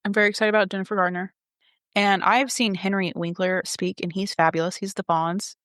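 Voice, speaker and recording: Smooth-voiced chatty woman podcaster. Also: medium pitch, steady tone, a little fast, fairly bright tone, no echo, clean studio sound. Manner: chatty, peppers speech with "like". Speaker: podcast co-host and travel agent. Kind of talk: casual podcast chat.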